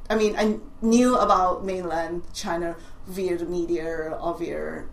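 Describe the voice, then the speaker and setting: Soft-pitched woman with a Cantonese-tinged accent. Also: steady tone, measured pace, vocal fry. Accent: Cantonese with British and American English traces. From Hong Kong. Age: 40s. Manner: hesitant, many restarts and fillers. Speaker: artist guest. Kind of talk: conversational interview. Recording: echoey room, faint noise.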